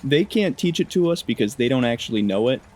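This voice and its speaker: A man with a mid-pitched voice narrates gameplay. He keeps it casual and slangy, with a wry turn to his storytelling. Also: animated, quick pace, a little mellow. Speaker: gaming video narrator.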